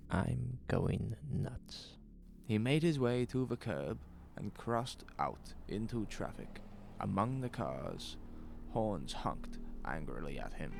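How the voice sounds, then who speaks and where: Slow, gravelly male horror narrator. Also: on the low side, darkish tone. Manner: measured, suspenseful. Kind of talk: scripted horror story narration.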